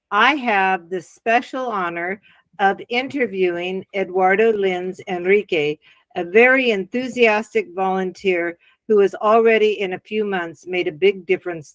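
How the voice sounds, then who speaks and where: A woman's voice: mid-range voice, dry recording. Encouraging woman interview host. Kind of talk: interview hosting.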